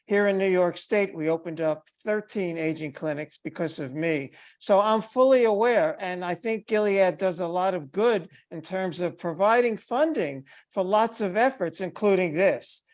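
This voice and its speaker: A man's voice: sits high, moderate pace, few pauses. Insistent older man on a video call. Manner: insistent, emphatic. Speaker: advocate for older people with HIV. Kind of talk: video call meeting discussion. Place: bright room indoors. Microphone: headphones on a video call.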